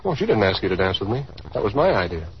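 Brisk, courteous young suitor. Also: male voice, lowish voice, big pitch swings. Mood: curious, eager. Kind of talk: 1930s radio drama acting. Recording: dull, hissy 1930s radio recording.

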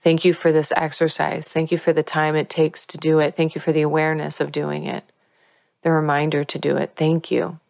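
Low-voiced woman teaching gently. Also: completely flat delivery, creaky, no echo. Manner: earnest and coaching, slows down to stress key words. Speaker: spiritual teacher and author. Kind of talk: guided spiritual teaching.

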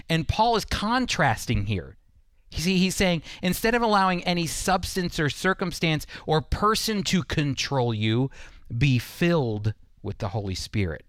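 A man's voice: medium pitch, no room sound, clean studio sound. A man preaching as a Bible teacher, speaking straight to the listener with emphatic repetition and rhetorical questions. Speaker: Bible teacher hosting a radio program. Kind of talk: evangelical sermon, teaching directly to the listener.

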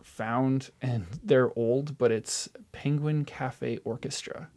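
A man's voice: mid-range voice, steady tone, slow, darkish tone. A man chatting casually as a podcast guest, informal and jokey. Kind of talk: casual chat, answering quick-fire questions.

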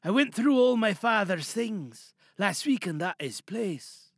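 A man's voice: high voice, expressive, measured pace, vocal fry, no echo, studio quality, exaggerated voice. A man playing a shy, hurt student reading aloud to a class in an audio monologue, hesitant and apologetic, holding anger under strained politeness. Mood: restrained bitterness.

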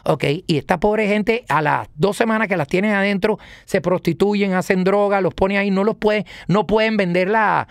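High-pitched, emphatic Venezuelan man. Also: normal speed, hardly any pauses, no echo, studio quality. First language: Italian. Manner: emphatic, rhetorical questions, repeats phrases. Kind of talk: political rant in conversation.

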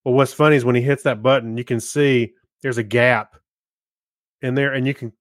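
A male podcast co-host speaks in a middle pitch. His delivery is casual and conversational, like loose storytelling among friends. The recording is dry, with hardly any room sound. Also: steady tone, moderate pace, no room sound. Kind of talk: casual chat.

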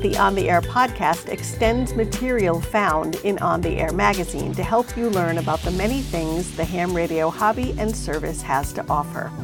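A woman hosting a podcast, introducing and interviewing a guest. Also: on the low side. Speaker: podcast host. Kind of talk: scripted introduction and interview questions.

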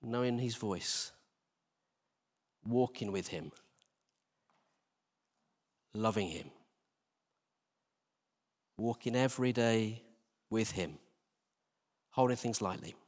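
A man's voice: on the low side, takes it slowly, pauses often. A British man giving an informal, conversational sermon as a church leader, asking rhetorical questions and repeating phrases for emphasis. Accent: British English. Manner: conversational, self-deprecating, with rhetorical questions and repetition. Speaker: church team leader preaching. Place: large reverberant indoor church space. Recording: live church hall, long reverberation.

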